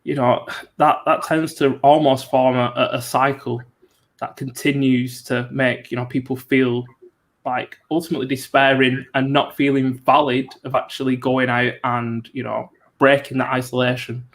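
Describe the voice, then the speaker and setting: A man hosting an interview show, asking long, rambling questions full of fillers. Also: mid-range voice. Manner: rambling, many "you know" fillers. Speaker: show host.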